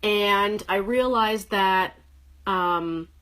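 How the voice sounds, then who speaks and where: A woman's voice: medium pitch, very slow, a bit bright, clear, resonant tone, low-quality recording. Woman, 30s, from Canada; casual home livestream from her living room, upbeat and chatty, lots of fillers, mid-range voice. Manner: chatty, upbeat, greets commenters by name, frequent fillers. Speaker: direct sales presenter. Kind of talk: casual livestream talk to viewers.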